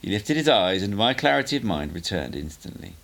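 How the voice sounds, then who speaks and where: A man reading a book aloud as an amateur audiobook narrator, in an expressive, measured way with emphatic phrasing. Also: fairly low pitch, gravelly.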